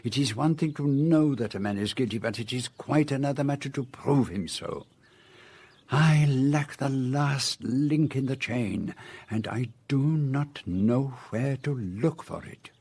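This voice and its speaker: An actor playing a shrewd, fussy middle-aged Belgian detective in a radio drama, with a French-speaking Belgian accent and measured, courtly phrasing. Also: male voice, medium pitch, lively intonation, darkish tone, husky. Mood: musing, measured.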